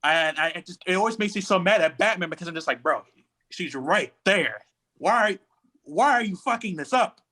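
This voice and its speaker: Bright, fairly high-pitched male co-host. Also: expressive, normal speed. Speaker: podcast co-host. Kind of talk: casual chat, pop culture commentary.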